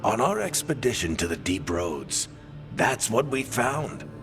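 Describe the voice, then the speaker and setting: An actor playing a weathered middle-aged storyteller companion in a video game, speaking with dramatic, grave weight. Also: male voice, mid-range voice, big pitch swings, a little slow. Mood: urgent. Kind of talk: video game dialogue and narration. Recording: dramatic music behind. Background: music.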